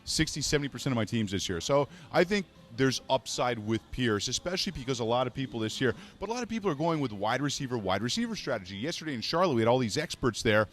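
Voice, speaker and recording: A man from Montreal hosts a fantasy sports radio show. He talks briskly and jokes along the way, and his voice is mid-pitched. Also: lively intonation. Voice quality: joking, brisk. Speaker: podcast host. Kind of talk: casual sports radio chat.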